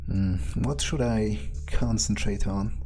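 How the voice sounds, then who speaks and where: A man's voice: lowish voice, takes it slowly, warm tone, faint music behind. A man playing an arrogant, scheming, foul-mouthed vampire in a muttered, scornful in-character monologue over gameplay. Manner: profane, scornful, muttering to himself.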